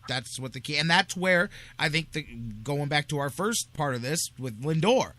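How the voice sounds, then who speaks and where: A man with a slightly high-pitched, very bright and punchy voice talks sports as a show host. He is casual but emphatic, stating his opinions firmly. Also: lively intonation, normal speed. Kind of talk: casual sports talk commentary.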